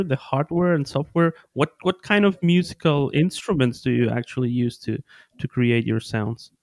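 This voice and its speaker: A man from Ecuador hosting a podcast, asking questions casually with frequent restarts. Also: medium pitch. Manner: friendly, stumbling restarts. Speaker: podcast host. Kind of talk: casual interview chat.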